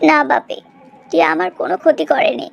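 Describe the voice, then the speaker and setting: A woman's voice: sits high, a little fast, gravelly. A woman playing a dutiful, pleading young daughter in a cartoon drama. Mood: sad, pleading.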